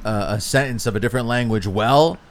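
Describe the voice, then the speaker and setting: A man co-hosting a casual podcast chat, animated and swearing often, sometimes slipping into mock voices. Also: medium pitch, brisk.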